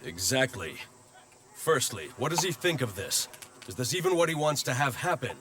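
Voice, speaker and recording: An actor playing a respectful, questioning military officer in a video game cutscene, formal and deferential. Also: male voice, medium pitch, big pitch swings, normal speed, fairly bright tone. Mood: concerned. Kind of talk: dramatic game cutscene acting.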